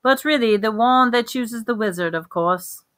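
A woman reading aloud voices an intense, eccentric old male wandmaker with a lilting, drawn-out delivery and sudden sharp emphasis. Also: fairly high pitch, no room sound, clean studio sound, pitched above the performer's own voice, lightly acted. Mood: musing.

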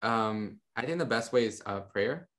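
A young man on a video call, a podcast guest talking about his ministry in a relaxed, rambling way with many fillers. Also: on the low side, moderate pace. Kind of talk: casual interview talk. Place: home room with monitors. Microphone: studio mic on a video call.

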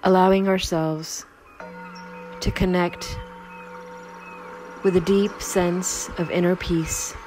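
A woman guiding a sleep meditation in a slow, soothing, unhurried way with long pauses. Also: lowish voice, little pitch movement, darkish tone, soft background music. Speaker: meditation guide. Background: Tibetan singing bowl tones.

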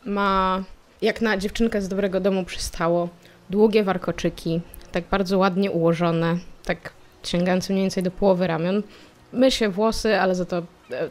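A woman player in a tabletop game describing her character, thinking aloud with small pauses. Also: lowish voice. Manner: thoughtful, with hesitant fillers.

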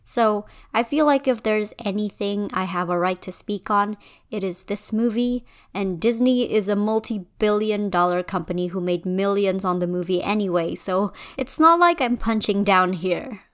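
A woman's voice: medium pitch, moderate pace, smooth. Young woman from Indonesia, video essay voice-over, conversational and opinionated. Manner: conversational, opinionated, a bit wry. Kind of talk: scripted commentary voice-over.

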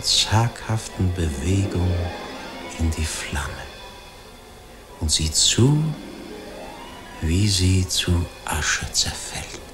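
A man narrating a radio play from a script in a solemn, deliberate, suspenseful manner. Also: on the low side.

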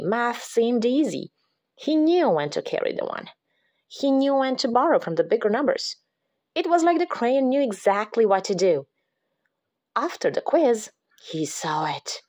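A woman reading a picture book aloud to children, dramatic and expressive, dropping into hushed whispers at the creepy parts. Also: on the high side. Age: adult. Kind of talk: expressive read-aloud storytelling.

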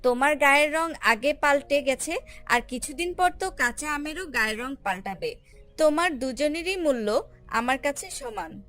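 Woman as friendly cartoon bird, high, bright, earnest, fair-minded, music behind. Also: moderate pace. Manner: earnest, even-handed. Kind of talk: children's cartoon storybook acting. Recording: music under the voice.

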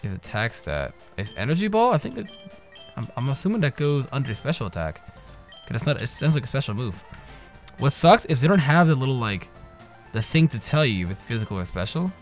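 A young man giving casual, profane let's-play commentary, trash-talking the characters and breaking into sudden loud yells. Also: mid-range voice, sing-song, fairly quick. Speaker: gaming channel host. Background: game music.

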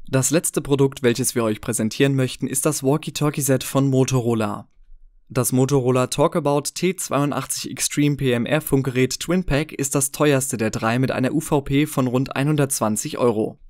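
A man's voice: mid-range voice, darkish tone, no echo. Man narrating a dry, close-miked product review. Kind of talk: scripted voice-over narration of a product comparison.

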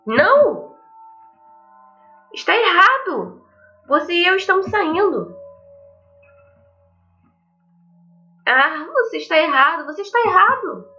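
A woman's voice: very high-pitched, very animated, measured pace, pauses often, pushed and bright, pitched above the performer's own voice, exaggerated voice. A woman voicing an unstable, lovesick teenage schoolgirl from a visual novel, pleading and repeating herself dramatically. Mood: tearful, desperate. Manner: stammering, pleading, dramatic repetition. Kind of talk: visual novel character read-aloud. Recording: dull lo-fi mic, music behind.

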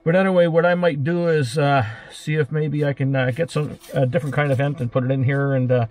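A man's voice: fairly high pitch, steady tone, darkish tone. A Canadian man, likely in his sixties, explaining a repair in a cramped van with plenty of hesitant fillers and dry jokes. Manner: halting, many uh fillers, dry joking. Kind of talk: casual hands-on explaining. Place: small van interior, lying under a cabinet.

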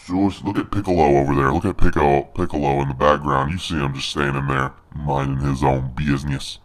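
A man's voice: extremely low pitch, steady tone, brisk. A man doing snarky gameplay commentary as a boastful, crude monster brute. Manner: cocky, crude trash talk. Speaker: gaming video host. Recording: home recording with noisy game sound. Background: fighting game sound effects.

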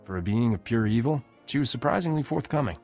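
A man's voice: medium pitch, lively intonation, fast, darkish tone, faint music behind. An actor playing a cocky, wisecracking male smuggler captain in a video game, with a wry, flippant delivery.

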